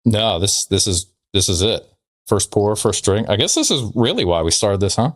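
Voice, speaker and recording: Low-pitched, lively man bantering casually. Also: normal speed, no room sound, clean studio sound. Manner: joking, conversational. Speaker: podcast co-host.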